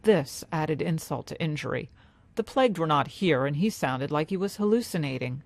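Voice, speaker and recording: A woman storyteller reading scripted fantasy narration in a measured, dramatic way. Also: low-pitched, lively intonation, vocal fry. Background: music.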